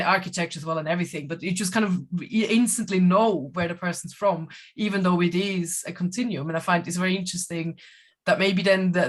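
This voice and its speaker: A German teacher from Switzerland with a Swiss German accent, talking things through thoughtfully on an online panel with many restarts. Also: female voice, lowish voice. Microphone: video call.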